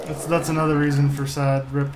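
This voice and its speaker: Flat-toned young man streaming, noisy background. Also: fairly high pitch, a little fast. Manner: downbeat, self-deprecating. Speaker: live streamer, host. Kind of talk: casual chat while game streaming. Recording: noisy room, typing in background.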